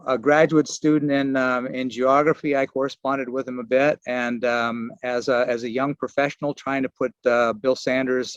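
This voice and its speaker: A man in his sixties giving memorial remarks over a remote video call, halting and often restarting his sentences. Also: mid-range voice, clear, resonant tone, no room sound. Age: 60s. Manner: halting, searching for words with many restarts. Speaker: archaeology and geography professor giving a memorial tribute. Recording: dull lo-fi call audio.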